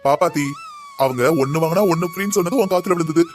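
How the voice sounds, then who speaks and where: A man's voice: fairly high pitch, quick pace, close to an everyday voice. A man voicing a mild middle-aged village father with a southern Tamil Nadu accent, placating and hurrying people along in a cartoon comedy.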